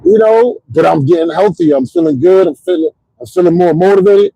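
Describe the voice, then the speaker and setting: A middle-aged man hosting a casual livestream, rambling in an animated, motivational way with lots of repeats and "you know what I'm saying". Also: a little high, a little fast, vocal fry, breathy edge, no echo, highs cut off. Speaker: host.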